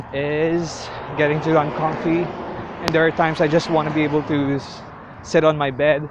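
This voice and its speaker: A young man from the Middle East talks to his handheld camera in a loose, rambling vlog style, stopping often to fix his own words. The sound is dull and lo-fi, with loud street and traffic noise behind him. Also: fairly high pitch. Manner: chatty, rambling, self-correcting. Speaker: vlogger. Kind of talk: casual vlog narration. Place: outdoors in a hot city, then a metro station and a cafe. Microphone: selfie camera at arm's length.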